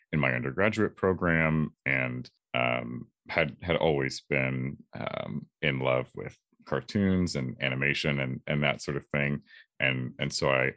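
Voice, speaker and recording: A man with a bass timbre giving reflective interview answers as a voice actor, in a halting, thoughtful, measured way. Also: fairly bright tone, no echo, studio quality.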